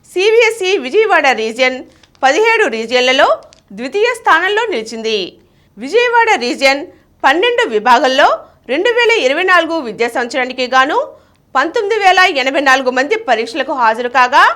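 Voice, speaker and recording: A woman news reader. She delivers scripted bulletins formally, with very animated intonation that rises and falls through each sentence. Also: high-pitched.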